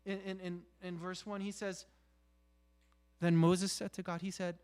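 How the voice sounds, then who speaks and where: Slow-paced male preacher, higher-pitched voice. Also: flat delivery, darkish tone, smooth. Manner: halting, with repeated "you know" and rhetorical questions. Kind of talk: conversational sermon.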